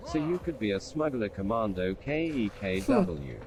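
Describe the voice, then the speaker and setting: A man's voice: mid-range voice, sing-song, normal speed, creaky, a little mellow, a little hiss or hum. A synthetic man's voice reading out stream chat messages as text-to-speech.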